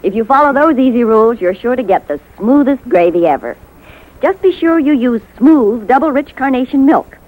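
An American woman, a home economist, giving crisply instructive scripted advice in a 1950s radio advertisement. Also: fairly high pitch, animated, normal speed, narrow, tinny audio. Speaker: home economist, sponsor spokeswoman.

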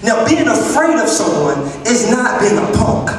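High-pitched young preacher in an echoey hall. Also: male voice, sing-song, unhurried. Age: young adult. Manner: emphatic, repeats phrases, often raises voice to a shout. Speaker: youth preacher. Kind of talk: impassioned sermon to young people. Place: large church hall, indoors. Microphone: handheld microphone through PA, filmed by distant camera. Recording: echoey hall from far off, crowd behind.

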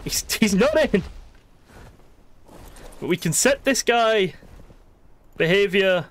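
A man giving casual, sweary live commentary as he plays a video game, excitable and exasperated, muttering to himself and at the game's creatures. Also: high-pitched, slow.

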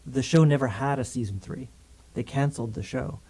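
A man's voice: mid-range voice, fairly quick, husky, low-quality recording. A man in his thirties from Canada, a filmmaker guest chatting in an interview in a small studio, talking animatedly on a condenser mic. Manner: animated, gestures a lot with hands while talking. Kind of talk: casual interview chat. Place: small studio with grey backdrop. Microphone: studio condenser mic on stand.

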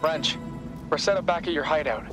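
A man's voice: on the high side, a little fast, over music, lightly acted. An actor playing an earnest, loyal young man in a video game, sincere and plain-spoken. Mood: focused. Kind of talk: video game cutscene acting.

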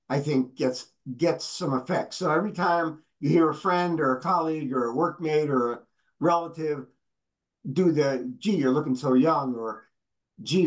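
Earnest higher-voiced older man on a video call. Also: poor audio. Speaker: presenter. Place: home library, indoors.

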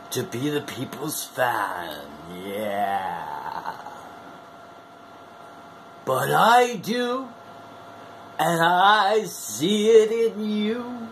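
A man performs a theatrical wrestling-style monologue as a showman. His fairly high voice leaps up and down dramatically, and he stretches his words out very slowly for effect. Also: slight background noise. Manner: bombastic showman, drawn-out words, sudden whoops. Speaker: wrestling fan video host. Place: small room at home.

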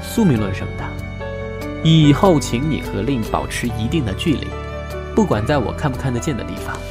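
An actor playing a reserved, wounded young man in an audio drama, speaking in a measured, resigned, serious way. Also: medium pitch, big pitch swings. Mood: calm, decided. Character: reserved, wounded young man setting terms to a former lover. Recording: music behind the voice. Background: music.

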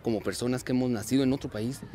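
A man in his thirties from Mexico, a dance and theater group director, speaking earnestly and at a measured pace in an outdoor interview, with frequent fillers and restarts. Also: mid-range voice, steady tone, background noise. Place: outdoors at a street parade. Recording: outdoor clip with music behind. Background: festive music.

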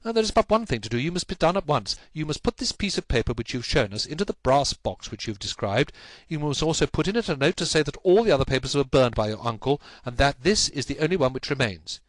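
A man voicing a brilliant, impatient gentleman detective from a storybook, with an urgent, commanding, exclamatory delivery. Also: on the high side, lively intonation, fast talker, hardly any pauses, no room sound, pitched above the performer's own voice, lightly acted. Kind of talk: audiobook character acting.

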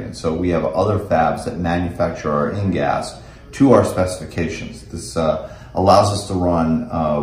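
A man's voice: low-pitched, slight background noise. A middle-aged American man giving a technical product demonstration and explanation as a company representative in an office. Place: indoors in a small office meeting room. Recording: echoey room.

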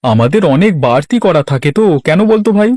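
A man's voice: on the high side, sing-song, fairly quick, a little mellow, no echo, clean studio sound. A man voicing a friendly, eager village shopkeeper uncle in a cartoon, chatty and obliging. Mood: agreeable. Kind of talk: Bangla cartoon voice acting.

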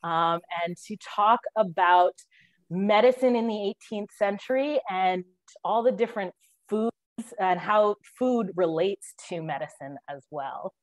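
A woman's voice: mid-range voice, a little slow, no echo, poor audio. A woman hosting and welcoming a guest over a video call from a room at home. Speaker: host.

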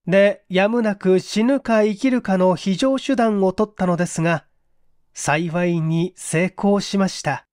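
A woman voicing an earnest, urgent male secret agent, polite and measured, in a dramatic audiobook reading. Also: mid-range voice, darkish tone, no room sound, clean studio sound, voice pushed down, lightly acted. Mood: grave, confiding.

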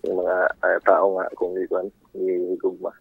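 A man with a Filipino accent calling in to a radio show, chatting casually in a hesitant, halting way. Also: low voice, completely flat delivery, creaky, breathy edge, dry recording. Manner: hesitant, halting, repeats himself. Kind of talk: casual phone chat. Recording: dull lo-fi phone call. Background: faint music.

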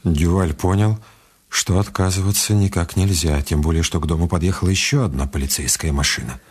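A man's voice: low voice, a little fast, a little mellow, slightly rough. A man narrating a radio crime thriller as a grave storyteller. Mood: measured. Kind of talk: dramatic radio play narration. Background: music under the voice.

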